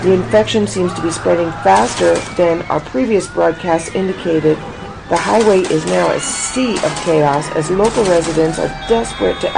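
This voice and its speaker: An alarmed female field reporter, played by an actress, speaks in a mid-pitched, gravelly creak. She stays professional but urgent, with music behind her, as in a radio drama news flash. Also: rough recording.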